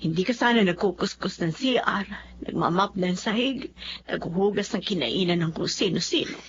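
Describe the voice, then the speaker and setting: A woman's voice: mid-range voice, moderate pace, natural voice. An actress playing a worried, apologetic middle-aged Filipina mother in a TV drama, with a fretful way of talking. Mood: regretful. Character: worried, apologetic, doting mother of a poor family. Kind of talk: Filipino television drama acting. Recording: muffled audio with background hum.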